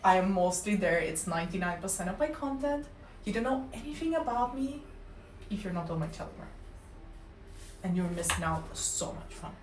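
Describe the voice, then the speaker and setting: A woman's voice: on the low side, lively intonation, a little fast, a little hiss or hum. A woman streamer with a Russian accent, a native Russian speaker, chatting playfully and casually with viewers. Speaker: livestreamer. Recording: roomy, distant mic.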